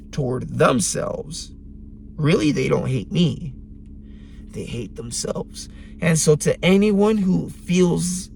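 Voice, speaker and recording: Slow, gravelly-voiced man musing at night. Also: medium pitch, darkish tone, a little hiss or hum. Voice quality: raspy. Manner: slow, deliberate, emphatic pauses. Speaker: vlogger. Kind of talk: reflective late-night monologue. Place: parked car at night.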